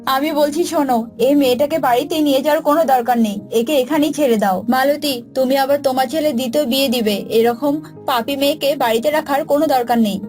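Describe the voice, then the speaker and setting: A woman's voice: high voice, a little mellow, natural voice. A woman voicing a stern, indignant older village mother in a cartoon, scolding with scorn. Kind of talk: cartoon dialogue acting. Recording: music bed behind voice.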